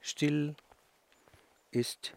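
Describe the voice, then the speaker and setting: A man improvising spoken word in short, deliberate, repeated statements.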